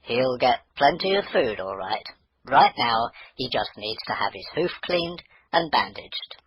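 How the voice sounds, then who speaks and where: A man's voice: medium pitch, very animated, unhurried, a bit bright, breathy edge, no room sound, exaggerated voice. A man voicing a kind, reassuring father of a little girl in a children's storybook.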